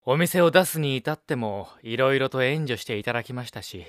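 An actor playing a young café assistant in an audio drama, speaking formally, courteously and without getting ruffled. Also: male voice, mid-range voice, expressive, fairly quick, dry recording, studio quality, close to an everyday voice. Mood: matter-of-fact. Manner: courteous formal speech, gently unruffled. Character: polite, composed young male café assistant. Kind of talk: Japanese audio drama acting.

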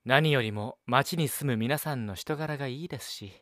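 An actor playing a dutiful, courteous young cafe assistant in an audio drama, with a gently teasing manner. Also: male voice, mid-range voice, normal speed, no echo, studio quality, close to an everyday voice. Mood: fond. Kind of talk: Japanese audio drama acting.

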